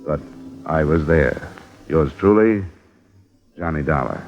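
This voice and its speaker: A man's voice: rumbling low, expressive, slow pace, full character voice. An actor playing a hard-boiled insurance investigator who narrates his cases in a dry, matter-of-fact way, in a 1950s radio drama. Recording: muffled old radio broadcast with music.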